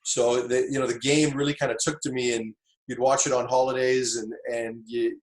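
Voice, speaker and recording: A man's voice: mid-range voice, flat delivery, normal speed. Reflective middle-aged man in a roomy space. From Tanzania. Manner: reflective, many fillers and restarts. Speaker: football coach, interview guest. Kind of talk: casual interview storytelling.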